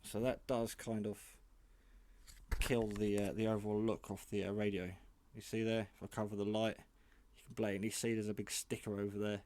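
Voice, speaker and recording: A man with a lowish voice talks through a casual product demonstration. He is matter-of-fact and a little hesitant, rambling from one point to the next like a hobbyist reviewer showing how something works. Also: flat delivery, normal speed, darkish tone. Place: garage.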